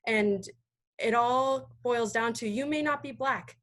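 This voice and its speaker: A woman's voice: on the high side, slow pace, fairly bright tone. Earnest, emphatic American woman. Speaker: panelist. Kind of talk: impassioned panel discussion. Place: remote video call. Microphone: video call.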